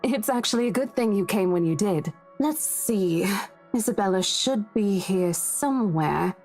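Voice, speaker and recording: An actress playing a courteous, busy real estate agent in a visual novel, composed and accommodating in manner. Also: female voice, mid-range voice, animated, a little slow, darkish tone, soft background music.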